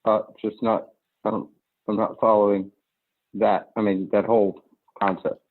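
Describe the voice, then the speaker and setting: A man's voice: lowish voice, little pitch movement, moderate pace. A man speaking as a commission member, questioning bluntly and informally during a meeting. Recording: tinny, phone-like muddy call.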